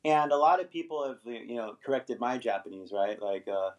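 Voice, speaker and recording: A man's voice: medium pitch, expressive, moderate pace, dull audio. A middle-aged man hosting an English-teaching livestream, chatting casually with viewers and rambling, often tagging "right?" onto his points. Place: Los Angeles.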